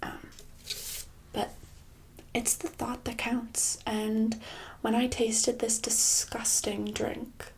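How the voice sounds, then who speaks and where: A woman playing a smug, controlling boss in a soft-spoken ASMR roleplay, with condescending, mock-sweet, drawn-out delivery. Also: on the high side, flat delivery. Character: smug, controlling female boss holding an employee captive.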